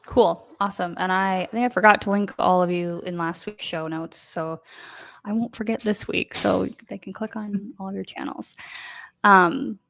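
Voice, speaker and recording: A woman's voice: mid-range voice, fairly even intonation, normal speed, gravelly, a bit bright, a little hiss or hum. A woman hosting a casual live online show, chatty and rambling with many fillers. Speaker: host. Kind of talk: casual livestream chat.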